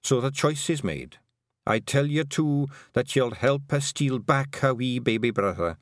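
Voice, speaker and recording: A man's voice: mid-range voice, lively intonation, unhurried, dry recording, studio quality, pitched above the performer's own voice, lightly acted. Male narrator as an ancient female clan leader, dying but commanding, solemn old-fashioned speech.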